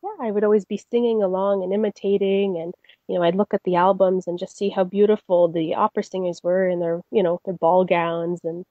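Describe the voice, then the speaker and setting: A woman's voice: mid-range voice, level tone, brisk, no room sound, phone-quality sound. A young woman from Canada, a singer answering interview questions in a friendly, chatty way with frequent fillers. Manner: friendly, many fillers and restarts. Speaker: guest singer.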